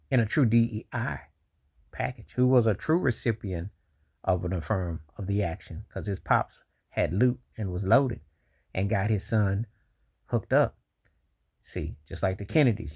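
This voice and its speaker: A man delivering opinionated spoken commentary as a vlog host, emphatic and deliberate, stretching out the words he stresses. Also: lowish voice, gravelly, husky.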